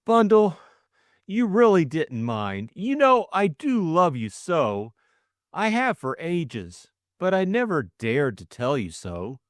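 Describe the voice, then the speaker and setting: A man's voice: fairly high pitch, big pitch swings, takes it slowly, no room sound, clean studio sound, pitched above the performer's own voice. A man voicing a flustered, lovestruck young Englishman, stammering and eager. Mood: earnest pleading.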